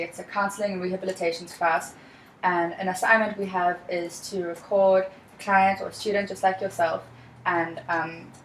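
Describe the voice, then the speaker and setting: A young woman from South Africa with a South African accent, leading a practice counseling session in a calm, measured, reassuring way. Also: lowish voice, roomy sound, a little hiss or hum, poor audio. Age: young adult. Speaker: student counselor.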